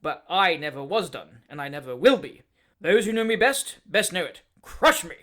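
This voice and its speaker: A man voicing a fierce, blustering former army man in middle age, speaking loud, short, abrupt, jerking sentences. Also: a little high, lively intonation, moderate pace. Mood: indignant.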